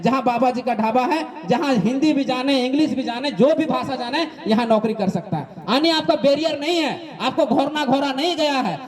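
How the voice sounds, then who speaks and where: Very high-pitched Jharkhandi man making a speech. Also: a little mellow. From Jharkhand, eastern India. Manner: emphatic, repeats points and poses rhetorical questions. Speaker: activist speaker. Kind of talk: political explainer speech to listeners.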